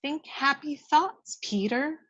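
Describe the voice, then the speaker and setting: A woman's voice: sits high, takes it slowly, fairly bright tone, lo-fi sound, voice pushed up. A woman voicing a tiny fairy, tender, earnest and encouraging, in a table read of a film script.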